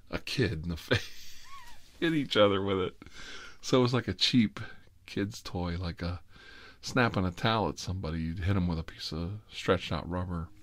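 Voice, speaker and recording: A middle-aged man telling personal stories informally, in a rambling, wry and sardonic confessional way. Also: deep voice.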